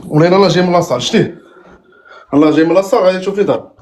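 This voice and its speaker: A man's voice: high-pitched, racing, a little mellow. A man in his thirties with a Moroccan Arabic accent, a chatty caller on a video call who keeps swearing by God. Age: 30s. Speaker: caller on a live stream.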